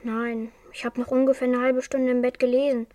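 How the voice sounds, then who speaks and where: High-voiced, guarded young girl played by an actress. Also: flat delivery, fairly quick, warm tone, dry recording, lightly acted. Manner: terse, guarded answers. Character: withdrawn, bitter young girl, loyal to father. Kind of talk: German crime radio play acting.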